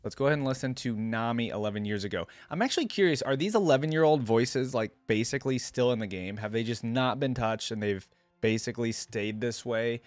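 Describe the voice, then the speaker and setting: Male reaction host: casual, thoughtful explainer, average pitch, dry sound. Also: a little fast, no echo. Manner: musing, explanatory.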